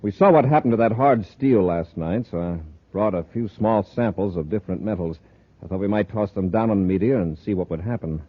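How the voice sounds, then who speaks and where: An actor playing a steady, cautious space patrol commander, authoritative and clipped, in 1950s radio drama style. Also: male voice, fairly low pitch, fairly quick, natural voice. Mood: businesslike. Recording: dull lo-fi vintage radio recording.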